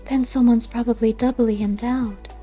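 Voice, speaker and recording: A woman performs a wry female cat from a storybook in a raised, gentle, mellow voice. The cat sounds curious and skeptical, questioning things with dry understatement. Faint music plays underneath. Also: on the high side, steady tone, normal speed, pitched above the performer's own voice, natural voice. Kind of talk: audiobook character voice.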